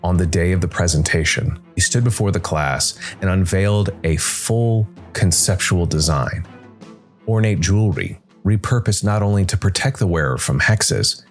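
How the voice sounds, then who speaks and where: A man narrating a scripted dark fantasy story in a measured way, with dramatic pauses. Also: low voice. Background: background music.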